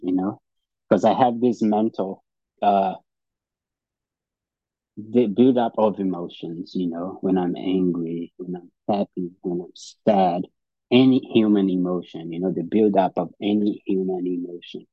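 Brazilian man, second language English, low husky voice, halting testimony; dull, dry recording. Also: fairly even intonation, dry recording. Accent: Brazilian Portuguese. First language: Portuguese. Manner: halting, repeats words and restarts often. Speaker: speaker at a recovery meeting. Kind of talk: personal testimony and reading aloud.

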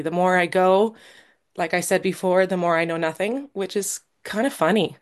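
A woman tells her personal story to a recovery group in an earnest way. She sounds a little nervous, pausing often, trailing off and starting her sentences again. Her voice sits at an ordinary middle pitch for a woman. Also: smooth. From Canada. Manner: halting and earnest, with many pauses and restarts. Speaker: guest speaker at a recovery meeting.

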